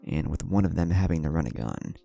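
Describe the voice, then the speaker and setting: A man's voice: very deep voice, animated, a little fast, vocal fry, dark tone, faint music behind, heavily acted. A man voicing a cocky, cunning, taunting young ninja in a narrated fan story. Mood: confident.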